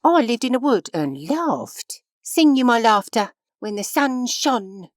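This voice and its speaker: An actress voicing a mysterious, mocking spirit of fate in a poetic drama, theatrical and incantatory. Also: female voice, fairly high pitch, very animated, a little slow, no echo, clean studio sound. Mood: haunting.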